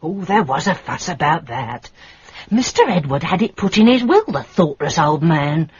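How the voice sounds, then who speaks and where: An actress playing a chatty, fluttery older London landlady with a husky voice and a Cockney accent in a radio detective drama. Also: deep voice, sing-song, moderate pace, dry recording, full character voice. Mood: gossipy. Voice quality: husky, breathy. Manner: gossipy, rambling, motherly. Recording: muffled radio recording.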